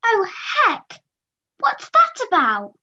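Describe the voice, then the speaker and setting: Muffled video call audio carries a young woman playing a chirpy, flustered comedy puppet. The pitch is extremely high and leaps about with animation. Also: huge pitch swings, slow pace, bright, forceful tone, full character voice. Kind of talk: comedy puppet show acting.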